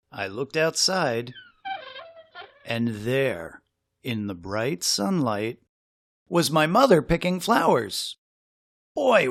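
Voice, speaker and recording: Guy reading a kids story out loud, slow and dramatic, voice goes up and down a lot. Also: medium pitch, creaky, no room sound, clean studio sound. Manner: dramatic, with suspenseful pauses. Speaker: storybook reader. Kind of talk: expressive children's story narration.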